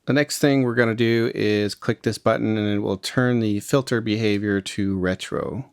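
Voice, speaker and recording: A man with a fairly low voice walks through a software tutorial step by step. He sounds informal and explanatory, and the recording is close and dry. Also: fairly even intonation, normal speed, creaky, smooth, no room sound. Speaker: music production tutorial host.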